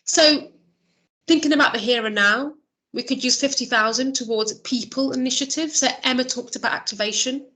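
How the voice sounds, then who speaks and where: A woman's voice: sits high, moderate pace, bright, forceful tone. A woman chairing an online meeting, thinking aloud with fillers and restarts, her voice a little worn. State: losing her voice. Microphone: video call.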